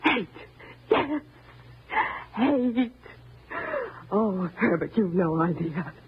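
An actress playing a timid, resentful wife turned murderous, in old-time radio thriller style, menacing and bitter. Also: female voice, mid-range voice, expressive, drawn-out words. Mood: tearful hatred. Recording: noisy, narrow-band old radio recording.

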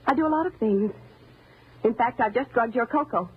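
An actress playing a timid, resentful wife turned murderous, in old-time radio thriller style, menacing and bitter. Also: female voice, a little high, brisk, slightly rough. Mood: calm menace. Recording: noisy, narrow-band old radio recording.

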